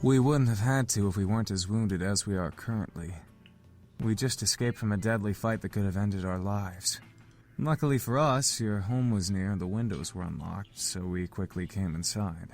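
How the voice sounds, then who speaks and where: An actor playing a polite, stern, composed gentleman in a visual novel, with a formal, measured delivery. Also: sits low. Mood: apologetic, formal.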